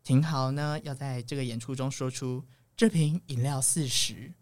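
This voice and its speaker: A podcast host speaks Taiwanese Mandarin at a middling pitch. The delivery is casual and upbeat, with a playful, teasing streak suited to a light chat show. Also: normal speed, a little mellow.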